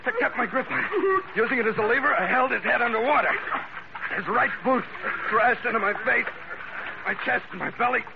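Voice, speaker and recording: A man's voice: very high-pitched, fairly quick, gravelly. An actor playing a tough, hard-boiled American sea captain who narrates his own radio adventure in clipped, wry words. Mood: intense. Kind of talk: 1940s-50s radio adventure acting. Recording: muffled, very noisy vintage radio recording.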